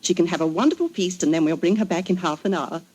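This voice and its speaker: A woman acting a gentle, tactful doctor's wife, sweet and polite, in a 1940s radio drama. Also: on the low side, fast, husky. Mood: coaxing. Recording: faintly noisy 1940s radio recording.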